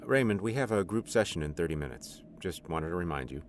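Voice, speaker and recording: Man playing calm radio drama counselor, deep, gravelly, welcoming, faint noise behind. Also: brisk. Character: calm male addiction group counselor, welcoming and orderly. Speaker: group counselor.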